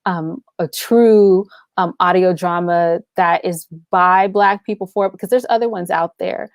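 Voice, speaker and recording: A woman's voice: lowish voice, fairly even intonation, unhurried, no room sound, studio quality. A woman guest speaking casually and enthusiastically about her creative work, explaining things with everyday examples. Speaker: guest, audio drama writer and producer.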